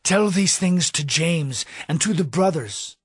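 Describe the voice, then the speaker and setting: An actor playing an earnest apostle in an audio Bible drama, speaking in declarations. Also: male voice, a little high, expressive, a little slow. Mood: earnest relief.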